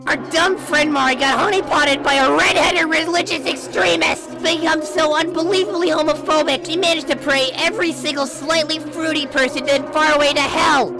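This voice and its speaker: Casting: woman as angry young male ghost for storybook reading, high-pitched, strained shouting, exasperated, music underneath. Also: steady tone, normal speed, creaky, few pauses, poor audio. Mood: furious ranting. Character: furious male teen ghost, exasperated, ranting.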